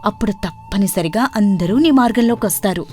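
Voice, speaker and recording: A woman voicing a loyal, encouraging young village woman in a moral story, with an animated questioning manner. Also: medium pitch, brisk, close to an everyday voice. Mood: urging. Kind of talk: acted dialogue in a moral story. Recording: music bed under the voice.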